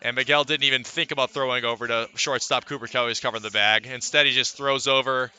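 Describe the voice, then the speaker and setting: A man calling live baseball play-by-play for radio, mixing pitch-by-pitch description with conversational asides. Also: steady tone, fast, sharp tone, clean tone. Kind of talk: live sports commentary.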